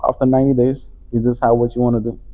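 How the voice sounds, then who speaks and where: A man's voice: medium pitch, flat delivery, very fast, mellow, muffled recording. A man from Afghanistan, a company CEO, talking as a guest in a casual interview, with many fillers and restarts. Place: conference venue.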